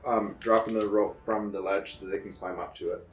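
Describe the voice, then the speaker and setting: A man's voice: lowish voice, level tone, moderate pace, reverberant, faint music behind. A man playing a tabletop game, speaking plainly and teasing the others. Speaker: player. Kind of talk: casual tabletop game chat.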